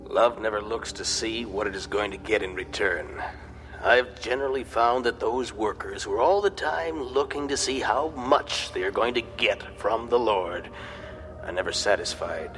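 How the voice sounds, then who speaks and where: Low-voiced fervent evangelist played by an actor. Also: male voice, fairly bright tone, lightly acted. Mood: gently exhorting. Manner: emphatic, deliberate. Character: Dwight Moody, fervent plain-spoken 19th-century evangelist preacher. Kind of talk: dramatised sermon. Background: music.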